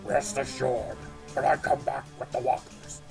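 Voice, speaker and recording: A man's voice: mid-range voice, fairly even intonation, a little slow, creaky, a little mellow, faint music behind, pitched below the performer's own voice. A man voicing a menacing alien mercenary villain who declares threats in an ominous way, from a cartoon story. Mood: scheming, smug.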